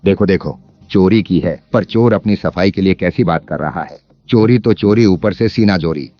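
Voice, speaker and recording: A man playing a cunning, greedy village landlord in an animated moral story, speaking with sly emphasis. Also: sits low, moderate pace, lightly acted. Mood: accusing. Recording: dull lo-fi sound under music.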